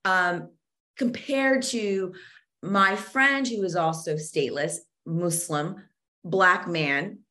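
A woman's voice: lowish voice, slow, bright, forceful tone. A woman from Zambia, a podcast guest talking with passion and many restarts, verbose and earnest. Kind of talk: conversational interview.

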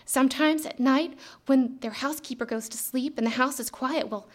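An actress playing a gossipy, weary 1950s office secretary in a live radio drama on a theater stage. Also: female voice, sits high, normal speed. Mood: conspiratorial. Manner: chatty, gossipy.

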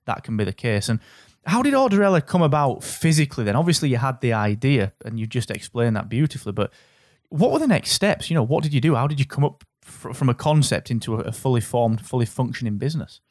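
A man's voice: mid-range voice, sing-song, fast, dry recording, clean studio sound. A man hosting a podcast, encouraging his guest in an upbeat way. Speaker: podcast host. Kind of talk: podcast interview.

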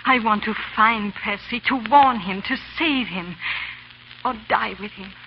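A woman's voice: a little high, unhurried. A woman playing an anguished, determined young noblewoman in a theatrical, urgent 1930s radio drama style. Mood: desperate, resolute. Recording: dull, faintly noisy 1930s radio recording.

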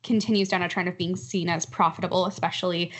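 Woman on a panel, voice a bit low, measured and deliberate. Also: little pitch movement, slight background noise. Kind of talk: roundtable discussion.

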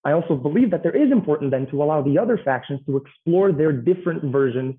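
A man speaking on a conference panel in a measured, reflective way. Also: on the high side, animated. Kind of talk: panel discussion. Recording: tinny phone-like line.

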